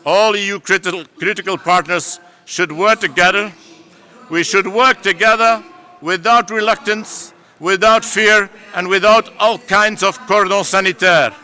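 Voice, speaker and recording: A man's voice: high-pitched, steady tone, measured pace, pushed and bright, clean tone. A man giving a declamatory political speech at a rally, speaking with emphasis. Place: conference hall.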